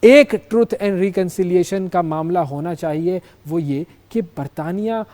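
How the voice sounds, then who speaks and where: A man with a Pakistani accent presenting and interviewing as a current affairs host, in a measured, emphatic, formal manner. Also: high-pitched, dark tone. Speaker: news programme host.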